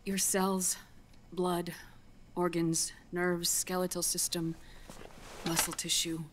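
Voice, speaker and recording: A grave female scientist in a dramatic video game cutscene. Her low voice moves in measured, deliberate phrases, earnest and clinical, with breathy pauses. There is noise behind her. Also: level tone. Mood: somber, urgent. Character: grave, earnest female scientist explaining grim news gently.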